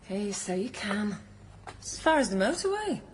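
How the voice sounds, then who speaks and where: A woman's voice: medium pitch, big pitch swings, normal speed, a little hiss or hum, close to an everyday voice. An actress playing a touchy, flippant, bookish woman in a naturalistic radio drama, speaking in a wry, guarded way. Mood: chilly, curious. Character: touchy, flippant, bookish woman visiting her old friend.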